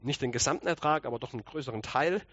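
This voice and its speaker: A man's voice: mid-range voice, racing, a bit bright, dull audio. A man with a slight cold, preaching conversationally and explaining with rhetorical questions. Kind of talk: conversational sermon.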